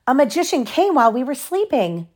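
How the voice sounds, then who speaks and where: A woman's voice: on the high side, big pitch swings, unhurried. A woman voicing a kind, earnest young orphan girl in a storybook reading. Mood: amazed. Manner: gasping, earnest, soft-spoken.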